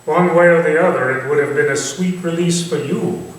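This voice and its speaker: A man playing a manipulative, commanding Victorian gentleman villain in a staged thriller, speaking in formal, theatrical phrases. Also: fairly high pitch, close to an everyday voice. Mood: cool, imperious. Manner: formal, deliberate, theatrical delivery. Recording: distant, echoey stage recording with faint noise.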